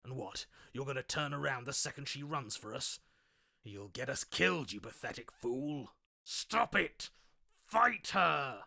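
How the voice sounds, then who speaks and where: A man playing a stubborn, combative inner voice in a video game, forceful, scornful and commanding. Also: medium pitch, expressive, measured pace, gravelly, no echo, studio quality, full character voice. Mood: angry contempt. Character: stubborn, combative male inner voice itching for a fight. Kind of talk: dramatic video game voice acting.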